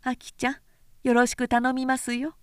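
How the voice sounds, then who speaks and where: A woman reader voicing an older, brisk madam of a pleasure house in an audiobook, worldly and matter-of-fact. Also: sits high, completely flat delivery, smooth, dry recording, clean studio sound, voice pushed up, close to an everyday voice. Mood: coaxing.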